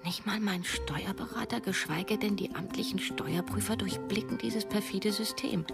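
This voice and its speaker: A woman's voice: medium pitch, moderate pace, gravelly, over loud music, lightly acted. An actress playing a disillusioned, sceptical writer in her thirties, narrating and acting in a radio drama with sober, wry, literary phrasing. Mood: sober, rueful. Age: 30s. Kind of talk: first-person radio drama narration and dialogue.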